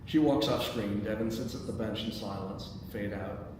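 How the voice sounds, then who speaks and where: A man's voice: on the low side, normal speed. Male workshop host, mid-range, thinking aloud with stumbles and repeats; distant mic in a noisy, very echoey hall. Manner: hesitant, repeats words while thinking aloud. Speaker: host and stage-direction reader of a screenplay table read. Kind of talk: reading action lines and giving feedback to a group.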